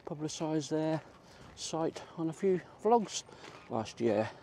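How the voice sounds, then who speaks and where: Middle-aged Englishman, slow easygoing vlog chat. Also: fairly high pitch, expressive, warm tone. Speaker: vlogger. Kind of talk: casual walk-and-talk chat. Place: outdoors in a country park. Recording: faint outdoor ambient noise.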